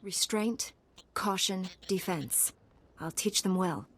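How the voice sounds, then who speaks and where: An actress playing a calm, self-assured warrior heroine in a video game, speaking in a composed, measured way. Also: female voice, medium pitch, a little hiss or hum, close to an everyday voice. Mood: calm assurance.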